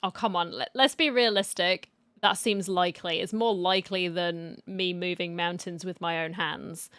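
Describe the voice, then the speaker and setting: A woman's voice: lowish voice, fairly even intonation, moderate pace, a bit bright, smooth, dry recording. A woman streamer giving casual game reaction commentary with dry, wry asides.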